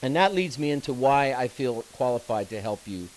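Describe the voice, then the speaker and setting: A man's voice: medium pitch, lively intonation, normal speed, rough recording. A man, an assistant pastor telling a folksy story in a talk to church staff. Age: 50s. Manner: folksy storytelling with fillers and restarts. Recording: faint hiss.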